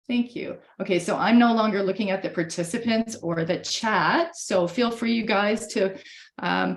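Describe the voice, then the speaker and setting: A woman's voice: on the low side, gravelly. Chatty woman losing her voice. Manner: chatty, many fillers and restarts. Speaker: webinar presenter. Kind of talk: casual presentation. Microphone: computer mic on a video call.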